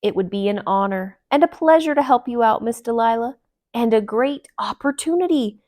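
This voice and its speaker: A woman's voice: fairly high pitch, animated, moderate pace, darkish tone, close to an everyday voice. A woman voicing a pompous, long-winded male vulture in a children's storybook, speechifying grandly and repetitively. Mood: self-important.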